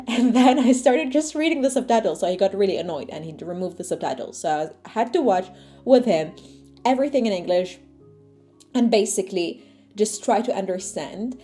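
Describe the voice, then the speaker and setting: A young woman whose first language is Arabic, chatting casually to camera as a vlogger, full of fillers and asides. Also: a little high. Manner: chatty, animated, lots of fillers. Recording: faint music underneath.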